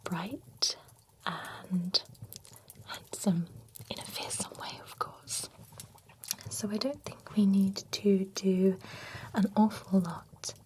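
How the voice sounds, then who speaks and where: A genteel, nosy vampire beautician, whispered by a woman, pampers a client in a soft, unhurried whisper. She is gossipy and giggly, leaning close to a sensitive microphone. Her voice sits at an ordinary middle pitch. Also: lightly acted. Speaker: ASMR roleplay performer. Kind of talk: whispered ASMR roleplay. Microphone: close sensitive ASMR microphone.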